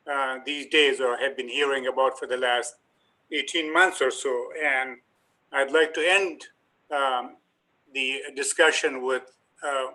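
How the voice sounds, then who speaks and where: An older man from Afghanistan, a native Dari and Pashto speaker, giving a measured community lecture, pausing often with ums and uhs. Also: mid-range voice, sharp tone, low-quality recording. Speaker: professor giving a talk.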